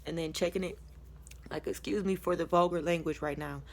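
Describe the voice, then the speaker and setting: Low-voiced American woman, chatting candidly. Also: fairly even intonation, fairly quick, slight background noise. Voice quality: low-toned, deep voice. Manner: candid, colloquial, rambling, chuckles as she talks. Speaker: vlogger sharing a personal testimony. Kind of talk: casual confessional storytelling to camera.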